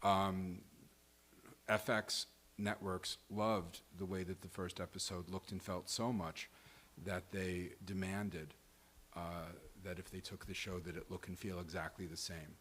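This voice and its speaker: A man co-hosting a live table read, talking off the cuff in a wry, playful and deliberate way. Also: low voice, level tone, a bit bright, slight background noise. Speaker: co-host and performer at a table read. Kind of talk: casual off-the-cuff stage talk. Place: live event venue before a small audience.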